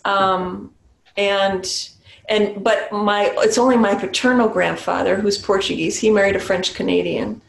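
A woman in her forties who teaches meditation talks slowly and reflectively, with small restarts along the way. Her voice has a gravelly edge and rings a little in an echoey home room. It comes through a webcam on a video call. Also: mid-range voice, steady tone. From New Hampshire, USA. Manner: thoughtful, unhurried, with small restarts and soft laughs. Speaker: Zen priest and meditation teacher.

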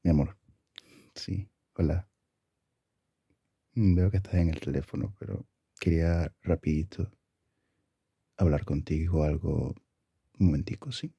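A man speaking softly and affectionately in an intimate ASMR boyfriend roleplay, with a husky, gravelly voice and an unhurried manner. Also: extremely low pitch, dry recording. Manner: soft-spoken, affectionate, unhurried.

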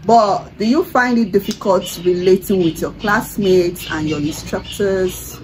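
A woman's voice: mid-range voice, a little slow, a little mellow. A young woman hosting a casual interview indoors, chatty and laughing often, asking playful questions. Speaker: host. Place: indoors, room with a red wall and a barred window. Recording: noisy home video.

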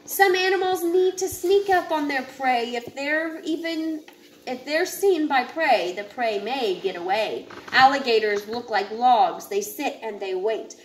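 A woman in her thirties reading a children's picture book aloud in a deliberate, instructive way, now and then stumbling over a word. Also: high-pitched, expressive. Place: home living area with kitchen, indoors. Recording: noisy home recording, room ambience.

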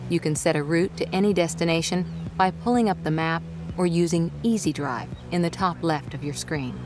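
A woman's voice: on the low side, moderate pace, lightly acted. A woman voicing a composed tutorial guide in a video game, giving scripted, instructional briefings to new players. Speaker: tutorial narrator. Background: music.

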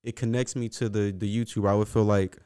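A man's voice: on the low side, flat delivery, quick pace, mellow, smooth. A young man co-hosting a podcast in casual, slangy conversation, repeating himself as he makes his case, on a close microphone. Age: young adult. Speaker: podcast co-host. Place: small indoor podcast room. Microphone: close podcast microphone on boom arm.

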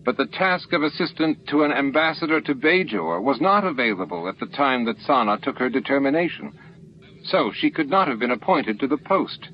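Man voicing a smooth, higher-pitched young alien official. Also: lo-fi sound, a little hiss or hum, natural voice. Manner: formal, measured, persuasive.

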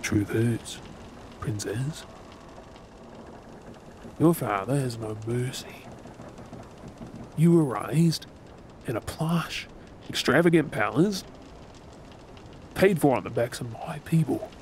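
A man playing a gruff, wounded, bitter yet tender pirate captain in a role-play drama, sardonic and brooding with dramatic pauses. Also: mid-range voice, expressive, a little mellow, a little hiss or hum. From Australia.

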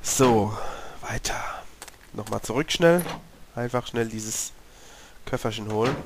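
A German-speaking man with a cold, slightly hoarse, giving chatty commentary while playing a horror game and talking to his character. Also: lowish voice, slow pace, noisy background. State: has a cold, clearing his throat. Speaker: let's play gamer. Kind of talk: casual gameplay commentary.

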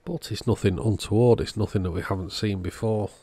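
A man's voice: on the low side, steady tone, fairly quick, warm tone, breathy edge, no room sound. A man narrating a repair job in a casual, matter-of-fact, understated way. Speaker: host of electronics repair channel.

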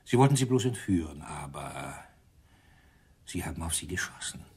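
An actor playing a gruff, sarcastic, impatient police inspector in a German radio crime drama. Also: male voice, rumbling low, sing-song, normal speed, exaggerated voice. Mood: sardonic, menacing. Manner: sardonic, gruff.

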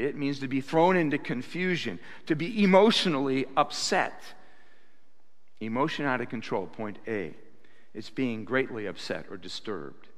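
A man in his sixties preaching a sermon at a pulpit, animated, with bursts of loud acted quotes, speaking into a stand mic in a church sanctuary. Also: mid-range voice. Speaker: pastor. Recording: reverberant church hall.

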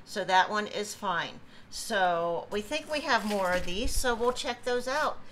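A woman's voice: mid-range voice, lively intonation, measured pace, fairly bright tone, a little hiss or hum, rough recording. A woman in her fifties, chatty, explaining items as she unpacks a pallet at a home table. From Ohio, USA. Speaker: reseller vlogger. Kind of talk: casual unboxing chat. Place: home room with table, indoors.

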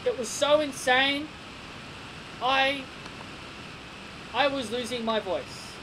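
A young man giving a casual wrestling review from his bedroom, excitable and repeating himself with stammering restarts, recorded on a desk webcam. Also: very high voice, slow. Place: small bedroom. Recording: noisy home webcam recording.

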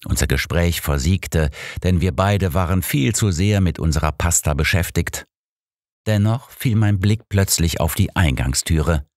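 A man's voice: deep voice, unhurried, no room sound, studio quality. A man reading a detective story as scripted audiobook narration.

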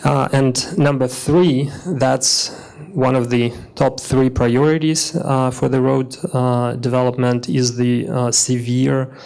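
A man's voice: medium pitch, steady tone, slow, gravelly, few pauses, darkish tone. A man in his thirties giving businesslike panel answers into a handheld mic in a conference hall, with many fillers and restarts. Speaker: government minister.

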